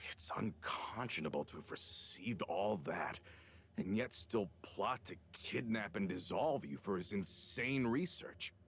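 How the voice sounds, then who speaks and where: A very deep, gruff male voice for a video game. He is a loyal older veteran who explains past troubles in earnest. Faint noise sits behind him. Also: fairly bright tone. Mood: shocked disbelief.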